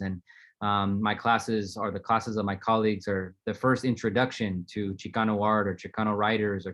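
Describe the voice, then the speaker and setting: A middle-aged man who teaches at a university, speaking on an art panel in a steady, thoughtful way with frequent ums. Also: fairly low pitch. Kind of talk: panel discussion, personal story.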